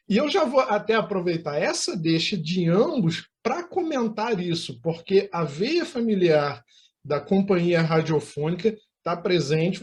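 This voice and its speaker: A man's voice: sits high, a little mellow. Male interview host, Brazilian accent, formal and wordy questioning in a conversational setting.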